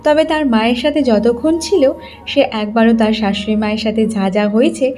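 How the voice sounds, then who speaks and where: A woman's voice: a little high, brisk, mellow, soft background music. A woman reading scripted narration as the narrator of a story.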